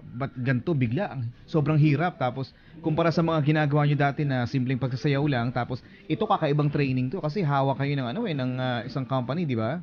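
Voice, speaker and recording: A man's voice: mid-range voice, quick pace, muffled recording. A man with a Filipino accent hosting a conversational celebrity interview on radio. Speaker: radio host.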